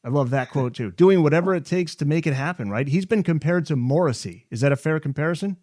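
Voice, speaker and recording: A man's voice: on the high side, lively intonation, fast, a little mellow, dry recording. Male interviewer, close and dry sound. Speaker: podcast host interviewing an author. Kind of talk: music interview, reading prepared questions.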